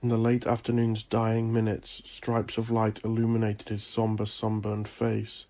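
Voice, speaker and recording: A man reading dark fantasy horror as a scripted story, with a measured, deliberate delivery. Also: on the low side, completely flat delivery. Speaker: audiobook narrator.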